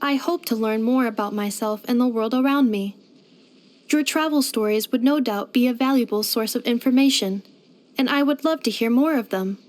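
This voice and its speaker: Actress as female robot helper for a game: high voice, formal, precise, literal-minded, unfailingly polite. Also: moderate pace, close to an everyday voice. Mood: earnest, curious. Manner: formal, precise, clipped system-report phrasing. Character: polite, helpful female robot maid companion, earnest and literal. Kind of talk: scripted video game character voice lines.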